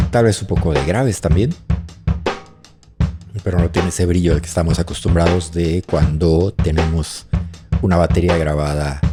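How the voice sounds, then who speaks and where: Low-voiced man giving an audio tutorial. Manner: explanatory, thinking aloud while demonstrating. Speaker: audio mixing tutorial instructor. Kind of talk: informal spoken software tutorial. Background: drum and bass tracks playing during demonstration.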